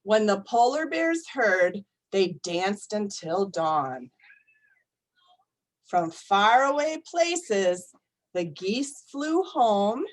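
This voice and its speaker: A woman reading picture books aloud to young children, expressive and deliberate, often putting questions to her listeners. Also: mid-range voice, low-quality recording. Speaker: storytime reader for young children. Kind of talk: animated picture-book read-aloud to children.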